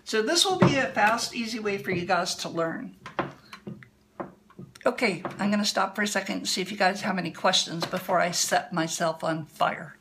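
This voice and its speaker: A woman calmly explaining a hands-on demonstration at a table for a livestream, a little hoarse. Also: mid-range voice, noisy background. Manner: calm, explanatory, unhurried. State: slightly hoarse. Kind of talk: instructional demo talk. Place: indoor room at a table.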